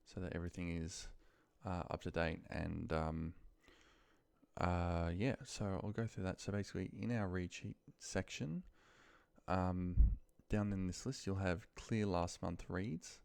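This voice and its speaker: Male instructor, deep and fry-edged, casual unscripted software walkthrough with a muttered, thinking-aloud manner. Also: fairly even intonation, a little slow, no room sound. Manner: informal, muttering to himself while working. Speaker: instructor demonstrating a spreadsheet task. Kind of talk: casual screen-share tutorial, unscripted.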